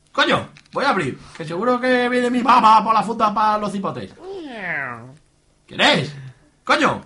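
A man's voice: extremely high pitch, exaggerated voice. A man playing a sentimental, oversensitive young hippie with an Aragonese accent, whiny and overwrought, in an amateur comic radio soap. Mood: weeping.

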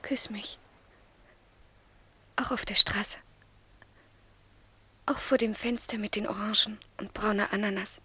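An actress playing a devoted, submissive young woman in love, speaking in a fervent, breathy hush in a radio play. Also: medium pitch, fairly even intonation, a little slow, pauses often, no echo. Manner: yielding, fervent, often whispering. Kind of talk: 1970s radio drama acting.

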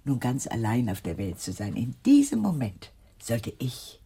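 An actress playing a giddy, extravagant older aunt in a German radio play, with an effusive, theatrical way of talking. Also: female voice, extremely low pitch, wildly swooping pitch, moderate pace, mellow, slightly rough, exaggerated voice. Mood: sentimental, wistful.

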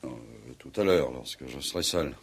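A man playing a grave, honour-bound military commandant in a 1960s French-language radio play, speaking in a formal, measured, cryptic way. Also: a bass voice, breathy edge. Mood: grave, guarded. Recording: vintage 1960s radio drama recording.

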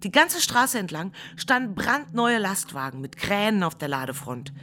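A woman's voice: mid-range voice, very animated, normal speed, sharp tone, a little hiss or hum. An actress playing a distraught female flood survivor recounting her ordeal in a radio drama monologue. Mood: tense recollection.

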